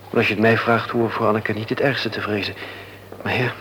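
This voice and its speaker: A man's voice: fairly low pitch, little pitch movement, fast, fairly bright tone, a little hiss or hum, natural voice, poor audio. An actor playing a polite, mild-mannered man worried about his sick niece, in a radio play.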